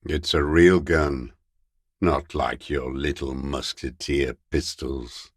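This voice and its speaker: Very deep, gravelly old deserter. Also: male voice, fairly bright tone, dry recording, studio quality, exaggerated voice. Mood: mocking contempt. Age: older. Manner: slow, contemptuous, sneering. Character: bitter old communist deserter, male, scornful and unrepentant. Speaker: voice actor. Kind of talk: dramatic video game character dialogue.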